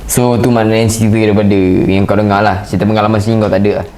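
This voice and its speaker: Fast-talking young Malaysian man, lowish voice. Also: little pitch movement, a little mellow, a little hiss or hum. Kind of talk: casual chat, prompting questions.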